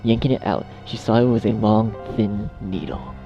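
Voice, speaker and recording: A man narrating a dark fan fiction story as a scripted reading. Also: lowish voice, fairly even intonation, normal speed, lo-fi sound, music in the background.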